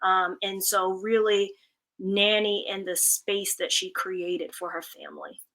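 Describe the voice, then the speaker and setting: Bright, forceful woman speaking on a panel. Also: mid-range voice, expressive, measured pace, poor audio. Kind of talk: thoughtful panel commentary.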